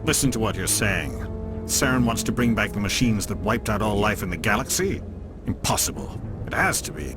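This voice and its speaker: An actor playing a stern, formal alien councillor in a video game, speaking with dismissive authority. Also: male voice, fairly low pitch, big pitch swings, moderate pace. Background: music.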